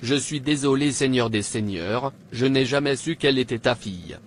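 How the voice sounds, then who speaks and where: Casting: male actor as haughty cartoon monarch, commanding delivery, medium pitch, some background noise. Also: moderate pace, lightly acted. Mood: fearful, pleading. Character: haughty, threatening pagan king, later fearful and pleading. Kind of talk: dubbed animated religious drama.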